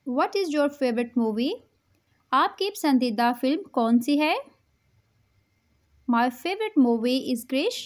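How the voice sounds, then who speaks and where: A woman teaches English phrases, saying each one at a very slow, careful pace. Her voice sits high. She gives the lines quickly between the slow English repeats. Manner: English spoken very slowly, Urdu lines quick. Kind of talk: slow English practice drill with Urdu translations.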